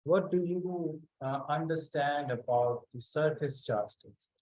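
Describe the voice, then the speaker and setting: A man teaching a physics class online, speaking haltingly and calling on students by name to check that they follow. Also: a little high, darkish tone, rough recording.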